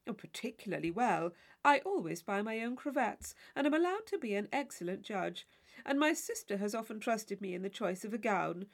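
A woman voicing a witty young gentleman in a storybook reading, articulate, arch and playfully mock-serious. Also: mid-range voice, sing-song, normal speed, creaky. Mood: self-assured. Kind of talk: audiobook character dialogue.

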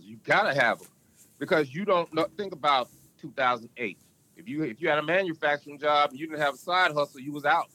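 A man's voice: pushed and bright, rough recording. A man guest on a podcast, talking in a casual, emphatic, storytelling way. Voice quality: can go deep. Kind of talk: casual interview talk.

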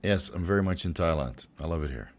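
Hoarse, swearing man hosting a Q&A. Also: low voice, quick pace. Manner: loose, joking, swears freely. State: voice getting hoarse and stuffy. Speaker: host answering viewer questions. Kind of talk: casual livestream Q&A.

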